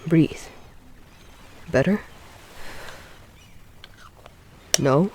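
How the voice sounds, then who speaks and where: Slow-talking, gentle boyfriend played by a man. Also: on the high side, background noise. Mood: anxious, soothing. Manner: gentle, reassuring, often hushed and breathy. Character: caring young boyfriend soothing his sick girlfriend. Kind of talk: audio roleplay acting, intimate and soothing.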